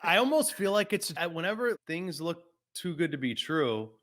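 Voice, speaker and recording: A man's voice: on the high side, very animated, normal speed, fairly bright tone. A man of about forty hosting a podcast, talking frankly and casually, often adding "man" and swearing. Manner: laid-back, frank, swears, says "man" a lot. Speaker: podcast host.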